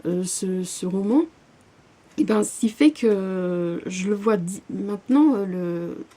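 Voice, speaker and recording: A woman's voice: mid-range voice, animated, slow pace, mellow. A young woman vlogging about her current reading in a casual, hesitant way, full of fillers and restarts. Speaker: book vlogger.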